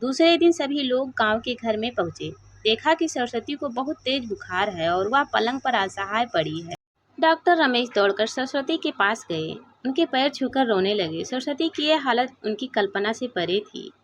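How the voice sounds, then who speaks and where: A woman reading a sentimental moral story aloud in an expressive, dramatic way. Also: on the high side, normal speed. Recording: dull, lo-fi sound.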